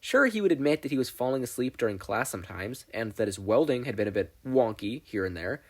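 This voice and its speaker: Animated man narrating a story. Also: medium pitch, fast talker. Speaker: audiobook narrator. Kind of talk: expressive scripted story narration.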